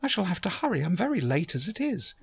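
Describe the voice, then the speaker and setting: A man's voice: sits high, big pitch swings, fast talker, gravelly, breathy edge, no echo, pitched above the performer's own voice, heavily acted. A man voicing a polite, flustered young woman.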